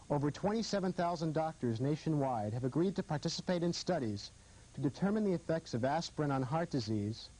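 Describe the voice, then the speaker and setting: Male American physician-host, formal scripted TV narration, soft-edged mellow tone with some huskiness. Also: on the high side, low-quality recording. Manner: formal, measured presenting.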